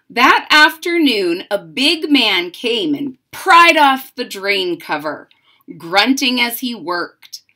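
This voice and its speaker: A middle-aged woman reading a picture book aloud to children, dramatic and deliberate, with simple explanatory asides. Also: mid-range voice, bright, forceful tone. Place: indoors, small home room.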